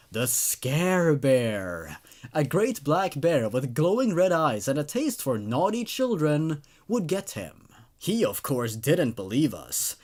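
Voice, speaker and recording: Exasperated mother, read by a man, storybook infomercial testimonial, earnest and lively, pitch a little raised. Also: full character voice. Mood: exasperated, dramatic.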